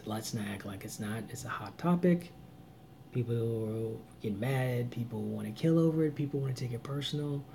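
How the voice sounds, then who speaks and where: A man in his late thirties giving earnest, preachy relationship advice on a live vlog, swinging between loud emphasis and low murmurs. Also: a little hiss or hum. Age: 30s. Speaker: vlogger. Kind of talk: live-stream advice chat.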